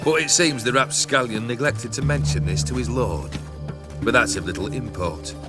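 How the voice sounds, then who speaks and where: An actor playing a fearless, brooding brigand lord in a video game, with grand, archaic, theatrical phrasing. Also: male voice, medium pitch, moderate pace, loud music behind the voice, natural voice. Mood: proud, wry. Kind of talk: dramatic video game voice acting.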